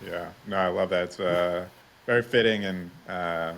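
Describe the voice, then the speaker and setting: A man's voice: low voice, steady tone, normal speed, a bit bright, slight background noise. A man hosting a podcast interview in a conversational style.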